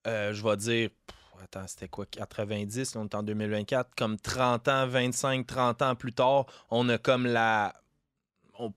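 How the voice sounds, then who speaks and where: A man's voice: medium pitch, little pitch movement, moderate pace, a bit bright, smooth, no echo, clean studio sound. Québécois man chiming in casually. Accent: Québécois French. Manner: chimes in with short agreements, colloquial. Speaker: podcast co-host. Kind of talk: casual chat.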